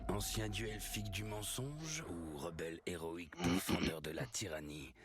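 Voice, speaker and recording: An actor playing a grave male storyteller, narrating an ancient legend in a solemn, measured, ominous way for a fantasy video game prologue. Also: on the low side. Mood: grave, knowing.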